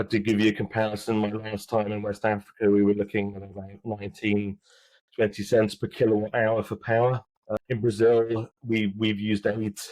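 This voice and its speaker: A man with a low voice gives interview answers about his work. He speaks haltingly, often restarting words. The sound is dry and close, with no room around it. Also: a little mellow, no room sound, poor audio. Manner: halting, many restarts and fillers. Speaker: mining company executive, guest.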